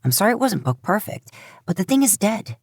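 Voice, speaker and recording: A woman voicing a stubborn young male hunter in a lowered, gruff voice. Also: very animated, brisk, darkish tone, no echo, clean studio sound. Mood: weary.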